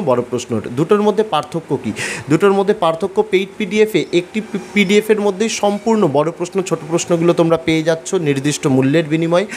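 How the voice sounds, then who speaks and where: A man with a West Bengal accent talks quickly in a slightly high voice, explaining to students like an online class host. His delivery is instructional and emphatic, often repeating points. There is faint noise behind him. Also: a little mellow. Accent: Bengali from West Bengal, India. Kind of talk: explanatory tutorial narration addressed to students.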